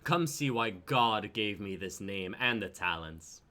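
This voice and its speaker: A man's voice: on the low side, lively intonation, a little slow, bright, forceful tone. A young adult man acting out dialogue in a dramatic script reading. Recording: noticeable background noise, online stream.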